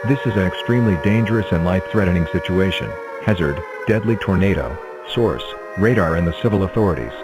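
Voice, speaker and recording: A man reading scripted emergency weather alerts as a broadcast announcer, in a formal, impersonal and measured manner. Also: low-pitched, animated, music in the background, rough recording.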